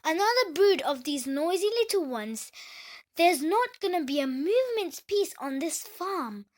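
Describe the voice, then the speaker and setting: A woman's voice: very high-pitched, sing-song, unhurried, exaggerated voice. A woman voicing a haughty, scornful female farmyard duck in a children's storybook, snooty and complaining. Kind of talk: children's storybook read-aloud.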